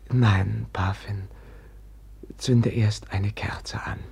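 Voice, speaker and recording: An actor playing a gentle, sickly Russian prince in a German literary radio drama, halting and solemn, with a husky, breathy, shaken delivery. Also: male voice, fairly low pitch, steady tone, heavily acted. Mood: hushed, soothing.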